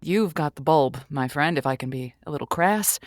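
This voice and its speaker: Radio advice host played by a woman: tactful, slightly awkward, low register, dry recording, conversational comic audio play. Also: animated, moderate pace, no room sound. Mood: encouraging.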